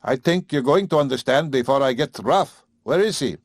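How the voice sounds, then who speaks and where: A man voicing a gruff, bullying old farmer with a whining, rough country dialect. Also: mid-range voice, expressive, normal speed, no echo, clean studio sound, voice pushed up. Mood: angry, sneering.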